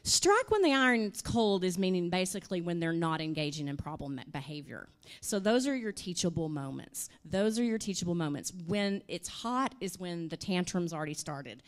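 A woman, presenting at a conference workshop in a hotel room and answering questions in an animated, conversational way through a handheld microphone. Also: fairly low pitch, normal speed, hardly any pauses. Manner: animated, conversational, uses "you know" and "I mean". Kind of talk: workshop talk with audience questions.

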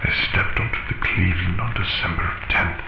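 A man's voice: sits low, fairly even intonation, a little fast, music in the background, lightly acted. An actor playing a wistful Italian immigrant man in a solemn, reflective dramatic monologue, with dull, noisy, roomy old-film sound. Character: wistful Italian immigrant man, a silent-era actor recalling his voyage.

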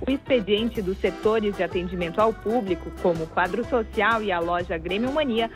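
A woman's voice: mid-range voice, moderate pace. A woman with a Brazilian accent reading a scripted phone announcement. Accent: Brazilian Portuguese. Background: music.

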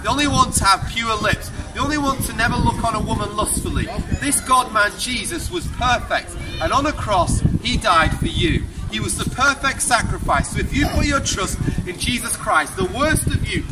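A young man preaching loudly and emphatically to passers-by in an open-air town square, asking the crowd one question after another. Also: extremely high pitch, lively intonation, moderate pace, few pauses. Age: young adult. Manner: loud, emphatic, projecting to passers-by; asks the crowd repeated rhetorical questions. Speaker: street preacher. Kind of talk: open-air street sermon. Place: outdoor town square, busy pedestrian street. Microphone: far camera on a tripod. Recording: distant open-air recording, loud street noise. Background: loud street ambience and passing traffic.

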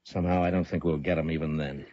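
A man acting a stern, steady U.S. Marshal in a 1950s radio western, with a terse, commanding delivery. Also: a bass voice, quick pace, no room sound. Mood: steady, businesslike. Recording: muffled vintage radio recording.

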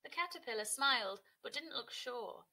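A woman teacher reads a picture book aloud for young children. Her voice is fairly high and bright, with very expressive, animated intonation and a slightly breathy edge. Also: normal speed. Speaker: teacher reading a story. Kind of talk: expressive children's story narration.

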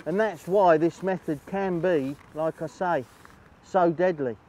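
Higher-pitched man, chatty instructor. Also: unhurried, darkish tone. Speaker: angling presenter. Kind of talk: instructional fishing demonstration, chatty. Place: outdoors on a lakeside bank.

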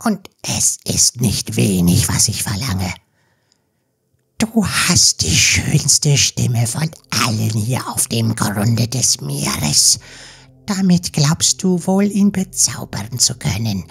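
A woman playing a sinister, scheming sea witch in a fairy-tale audiobook, with a hoarse, breathy whisper and slow, ominous delivery. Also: very deep voice, big pitch swings, heavily acted. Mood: demanding, scheming.

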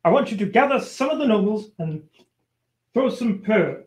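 A man playing a scheming, vengeful royal official in an old-time radio show, theatrical and halting. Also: sits high, animated. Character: vengeful scheming male royal official, imperious, obsequious to the king.

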